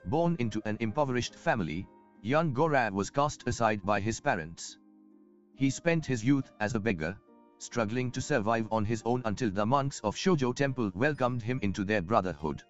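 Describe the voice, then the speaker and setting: A man's voice: medium pitch, lightly acted. Man acting a courtly merchant, muffled, over music. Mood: reflective, sympathetic. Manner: formal, eloquent, archaic phrasing. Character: courtly, self-assured wealthy merchant, risen from poverty. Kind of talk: scripted video game dialogue. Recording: muffled game audio with background music.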